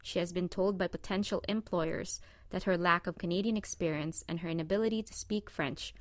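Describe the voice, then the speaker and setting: Woman reading a presentation script, steady and measured, dry sound. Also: fairly low pitch, no room sound. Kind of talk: scripted narration.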